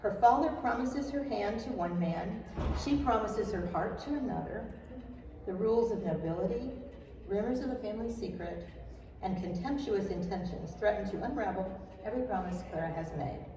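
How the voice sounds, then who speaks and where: An older woman with a soft voice, answering casually as the author guest in an interview. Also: medium pitch, moderate pace, crowd noise. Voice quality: soft-spoken. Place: echoey room at a writer's retreat, background noise. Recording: echoey distant room mic with faint noise.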